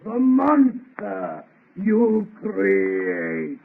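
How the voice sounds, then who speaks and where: Menacing, very slow, creaking monster. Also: male voice, sits high, huge pitch swings, noisy background, exaggerated voice. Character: menacing male monster, giant created by a scientist. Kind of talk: old-time radio horror acting. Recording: tinny vintage radio, loud music.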